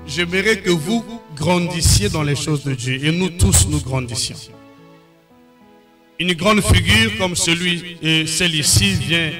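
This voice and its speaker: A man whose first language is English speaks to a church in a high voice, delivering one short phrase at a time and then waiting for an interpreter. Loud music plays clearly under him throughout. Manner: preaching in short phrases, pausing for translation. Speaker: pastor.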